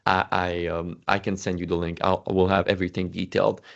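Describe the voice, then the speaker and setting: A man's voice: sits low, level tone, fairly quick, vocal fry, highs cut off. A man whose first language is French, walking through a spreadsheet demo in a casual, self-correcting way with lots of fillers and restarts. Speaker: Excel instructor presenting. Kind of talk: casual screen-share walkthrough.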